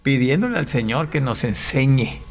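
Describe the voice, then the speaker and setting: A man from Panama, a pastor and guest, telling his faith story in conversation, halting with frequent restarts. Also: mid-range voice, animated, creaky, darkish tone. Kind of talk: conversational testimony.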